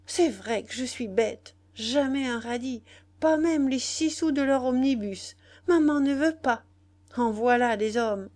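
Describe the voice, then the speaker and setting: Brash young kept woman played by female reader, high voice, impatient, scornful, slangy audiobook dialogue. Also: measured pace, darkish tone, close to an everyday voice. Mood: scornful, exasperated. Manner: exclamatory, scolding, slangy.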